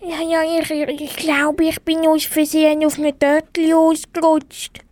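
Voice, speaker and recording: A woman's voice: sits high, completely flat delivery, a little slow, a little mellow, no echo. A female performer with a Swiss German accent playing a small, polite, earnest bear in an amateur radio play. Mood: apologetic. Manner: polite, hesitant. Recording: faint background noise.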